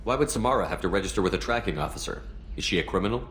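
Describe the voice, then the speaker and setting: A man playing an earnest, steady starship commander in a video game, speaking in a direct, businesslike way. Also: deep voice, racing, a little hiss or hum. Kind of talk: video game character acting.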